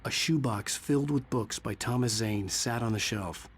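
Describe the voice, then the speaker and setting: An actor playing a troubled, weary American writer in a game, with brooding, terse dramatic narration and dialogue. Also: male voice, medium pitch, normal speed, slight background noise, lightly acted. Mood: reflective. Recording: music behind the voice.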